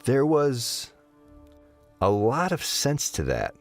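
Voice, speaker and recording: A man narrating a scripted story in an expressive storytelling manner. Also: mid-range voice, slow pace, soft background music.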